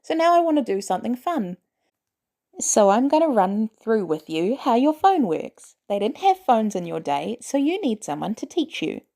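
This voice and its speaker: A woman acts out a teenage boy in an audio story. He is eager and stubborn, pitched high, and blurts his questions with cheeky, excitable curiosity. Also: normal speed, gravelly. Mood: cheeky, playful.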